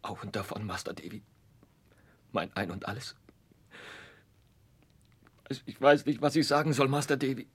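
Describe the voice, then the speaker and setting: An actor playing a humble, heartbroken working man, halting and pleading, in a 1960s German radio drama. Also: medium pitch, animated, husky. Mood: distraught, pleading.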